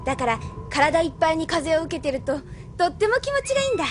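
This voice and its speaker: High, lively woman acting a spirited young woman. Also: fast talker, a little hiss or hum. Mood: wistful, chatty. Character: spirited, curious, outspoken young island woman. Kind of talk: Japanese audio drama acting.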